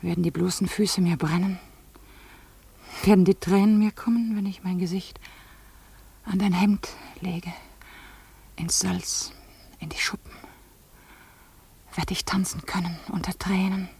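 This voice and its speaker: An actress playing a wounded, yearning woman in a radio play, husky and breathy, putting poetic questions in an intimate way. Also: on the low side, fairly even intonation, unhurried, long pauses, dark tone, exaggerated voice. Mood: desperate yearning. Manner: poetic, questioning, sliding into whispers. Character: wounded, yearning rich woman confiding in her boatman. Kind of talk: intimate literary radio drama acting.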